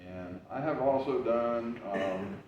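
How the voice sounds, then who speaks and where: A man's voice: medium pitch, slow pace, a little hiss or hum. Man talking far off in an echoey room. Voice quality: loud voice. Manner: loose, rambling telling with many "uh"s and restarts. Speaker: historical reenactor giving a library talk. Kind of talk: casual talk to an audience. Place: library meeting room. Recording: very echoey, distant, dull room recording.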